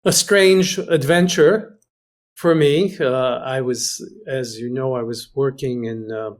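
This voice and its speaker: A man from Greece talks as an interview guest in a mid-pitched voice. His delivery is conversational and reflective, halting often as he searches for the right word. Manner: hesitant, halting, pausing to find words. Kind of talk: conversational recollection. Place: remote internet video call.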